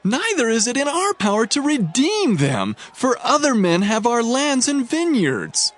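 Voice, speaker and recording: A man's voice: extremely high pitch, wildly swooping pitch, measured pace, fairly bright tone, full character voice. A man playing an anguished, hungry commoner crying out a plaintive complaint in a scripture drama. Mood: anguished pleading.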